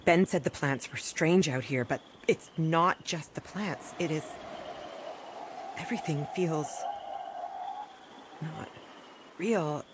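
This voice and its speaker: A woman's voice: low voice, takes it slowly, long pauses, noisy background. An actress in an audio drama playing a wary, intense, driven rural woman doctor. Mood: wary, uneasy.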